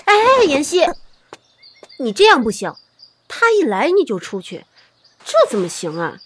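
A woman's voice: high-pitched, huge pitch swings, measured pace, a bit bright, exaggerated voice. An actress playing a bossy, fiery woman of ancient China in a period audio drama, with a scolding, theatrical manner. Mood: scolding, teasing.

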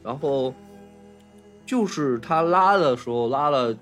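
A man with a mid-range voice chats as a podcast co-host. He recounts things haltingly in short, broken phrases, with faint music playing behind him. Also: medium pitch, animated, a bit bright. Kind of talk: casual film discussion.

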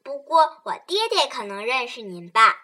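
A woman voicing lively, playful small children in a children's radio play, excitable and bookishly polite. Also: sits high, normal speed, exaggerated voice. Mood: curious.

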